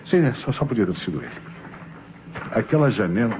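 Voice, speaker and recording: A man's voice: lowish voice, big pitch swings, a little fast. A Brazilian man playing a sly, ironic police inspector in an old radio drama, courteous and teasing, repeating himself. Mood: politely probing. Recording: muffled vintage radio recording, faint noise.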